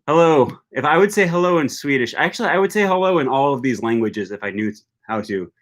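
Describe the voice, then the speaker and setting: Young adult man, mid-pitched voice, live-stream host, emphatic teacherly delivery. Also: expressive, brisk. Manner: emphatic, teacherly, frequent "okay" and "right" tags. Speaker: language learning channel host. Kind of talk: live-stream discussion, reading chat comments.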